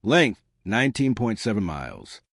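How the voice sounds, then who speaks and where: Guy doing a tiny tough lady starship captain, sarcastic, confident, very animated, dry sound. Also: on the low side, normal speed, vocal fry, no echo, heavily acted. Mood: commanding. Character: small, fierce, sarcastic female starship captain.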